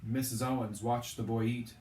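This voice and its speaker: A man reads a novel aloud in an expressive storytelling style, with a mid-pitched voice. The recording is roomy and echoing, and he sits at some distance from the microphone. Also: normal speed, slight background noise. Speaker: storyteller reading a book aloud. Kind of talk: expressive audiobook-style narration of a children's novel.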